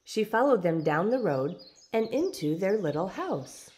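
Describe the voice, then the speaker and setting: A woman reading a storybook aloud in expressive, deliberate, dramatic narration. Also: medium pitch. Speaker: storybook reader. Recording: background noise with birdsong.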